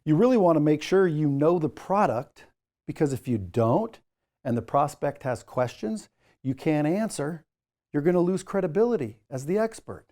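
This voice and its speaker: A man's voice: on the high side, expressive, moderate pace, dark tone. A man presenting a training lesson as an instructor, speaking directly to the learner in an emphatic, coaching way with informal asides. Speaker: sales trainer, course instructor.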